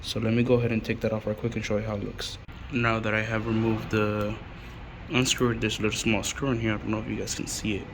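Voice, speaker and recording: Low, flat-toned man over background noise. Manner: halting, pausing often mid-sentence. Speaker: installer presenting a how-to. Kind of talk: casual hands-on tutorial, unscripted. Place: on an installation job site. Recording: clearly noisy on-site recording.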